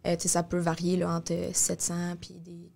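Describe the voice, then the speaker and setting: A woman's voice: on the low side, completely flat delivery, normal speed, vocal fry, mellow, a little hiss or hum. A young woman with a Québécois French accent, a support worker explaining things as a guest on a podcast in a small studio, with many fillers and self-corrections. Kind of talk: casual podcast discussion. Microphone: studio mic on boom arm, headphones.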